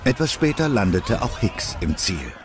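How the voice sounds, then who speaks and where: Low-voiced male story narrator. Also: very animated, normal speed. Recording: narration over music.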